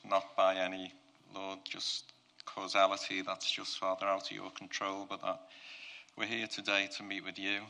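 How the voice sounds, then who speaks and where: A man preaching to a congregation, with a croaky voice that he mentions himself. He talks earnestly and often repeats and restarts his phrases. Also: deep voice, monotone, normal speed, sharp tone. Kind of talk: prayer and sermon to a congregation.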